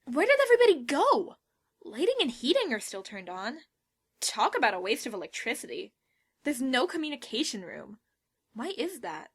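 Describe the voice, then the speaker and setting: A woman playing a bossy, confident, energetic teenage schoolgirl in an audio drama, brisk and self-assured. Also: high voice, slightly rough, full character voice. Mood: puzzled, grumbling. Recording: loud music under the voice.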